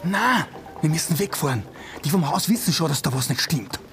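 An actor playing a bossy robber ringleader with a Viennese accent, commanding and urgent in a radio drama. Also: male voice, on the high side, expressive, fairly quick, fairly bright tone, a little hiss or hum. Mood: tense instructing. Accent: Viennese Austrian. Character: bossy male robber ringleader giving orders under pressure. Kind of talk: radio drama acting, colloquial dialect.

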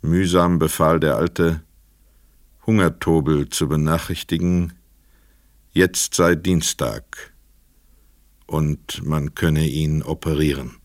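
A man's voice: rumbling low, fairly even intonation, takes it slowly, long pauses, dry recording, clean studio sound. A man narrating a literary crime radio play from a script.

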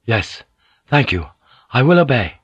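A man's voice: mid-range voice, sing-song, measured pace, slightly rough, dry recording. A man voicing a deferential, cautious samurai nephew and heir, humble and formal, in an audiobook, slightly muffled. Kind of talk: audiobook character acting.

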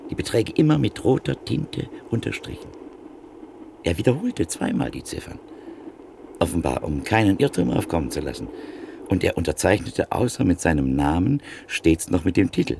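A weary middle-aged art dealer in an old radio play. His voice is very deep and gravelly, and he speaks in a measured, reflective storytelling manner over a faint hiss. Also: big pitch swings, a little mellow, exaggerated voice. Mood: thoughtful. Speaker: antique print dealer. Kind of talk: classic radio drama acting.

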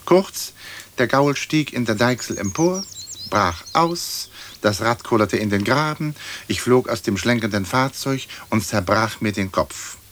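An actor playing a dead aristocratic lord in a radio play, speaking formally in measured, old-fashioned, dry phrases. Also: male voice, medium pitch, animated, a bit bright, a little hiss or hum. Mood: dry, stately. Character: aristocratic dead English lord, dignified, dry, long-winded.

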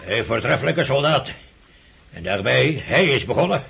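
An actor playing a cantankerous, opinionated old prince in a radio drama, husky and creaky, sputtering and repeating himself as he blusters. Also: medium pitch, big pitch swings, normal speed, fairly bright tone, full character voice. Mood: scornful, grumbling. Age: older.

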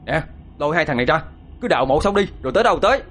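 A man's voice: on the high side, animated, a little fast, slightly rough, pitched above the performer's own voice. A man voicing a stubborn, angry young son-in-law who speaks curtly and gives orders.

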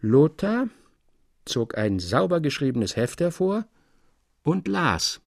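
Husky, very animated male narrator. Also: fairly high pitch, takes it slowly, no room sound, clean studio sound. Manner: theatrical, expressive. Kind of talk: dramatized literary narration.

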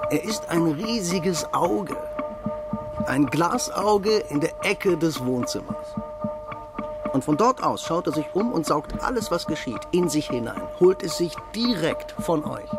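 An actor playing a man who lectures on writing soap scripts, slow and emphatic, in a radio play with music behind. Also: on the high side, expressive. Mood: lecturing.

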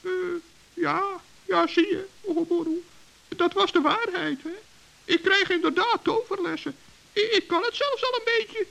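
A tiny, childlike old gnome in a children's radio play. The voice is extremely high, creaky and bright, chattering with self-importance and excitable pride. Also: male voice, normal speed, exaggerated voice, rough recording. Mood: earnest. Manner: chatty, self-important, stammers when flustered. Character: small excitable proud forest gnome, elderly and childlike.

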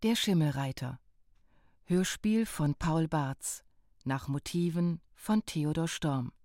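A woman reading scripted radio announcements in a measured, precise, neutral way. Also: low voice, a little mellow, dry recording, clean studio sound.

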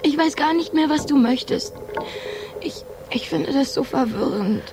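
A woman's voice: sits high, lively intonation, a little slow, music in the background. An actress in a radio play, playing a confused, anguished woman in a troubled relationship who speaks hesitantly and questioningly.

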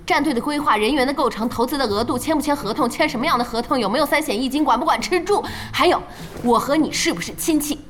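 A young woman acting in a Mandarin audio drama as a blunt, quick-tempered team boss with a brisk manner. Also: high-pitched, a little hiss or hum, close to an everyday voice. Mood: exasperated.

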